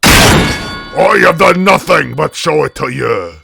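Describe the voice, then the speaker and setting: A man's voice: a little high, animated, gravelly, heavy background noise, voice pushed up, exaggerated voice. A man voicing an enormous metal smith god in a story reading, stony, imperious and menacing. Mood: enraged. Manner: stony, slow-burning, imperious.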